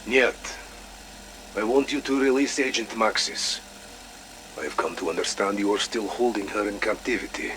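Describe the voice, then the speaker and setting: An actor playing a measured, dryly sardonic Soviet operative in a video game audio log, speaking deliberately and with pauses. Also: male voice, lowish voice, background noise, close to an everyday voice. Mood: firm, pointed. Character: measured, wry male Soviet operative, quietly principled.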